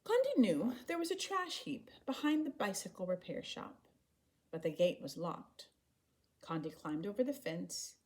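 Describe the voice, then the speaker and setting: Animated woman reading a children's story. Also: mid-range voice, measured pace. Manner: animated, acts out the dialogue. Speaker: storybook reader. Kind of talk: expressive read-aloud of a children's story.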